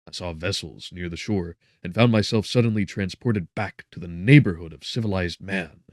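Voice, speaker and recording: A man with a very deep voice narrates a gothic novel. His delivery is solemn and theatrical, rising and sinking in volume. The sound is dry, with hardly any room around it. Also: normal speed, a little mellow, no echo. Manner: theatrical, solemn, with strong swells and drops in volume. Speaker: audiobook narrator.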